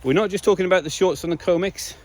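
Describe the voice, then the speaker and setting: A slightly hoarse man speaking in a casual, emphatic monologue to viewers, repeating key phrases for stress. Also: high voice, fast talker, a little mellow. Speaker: video blogger.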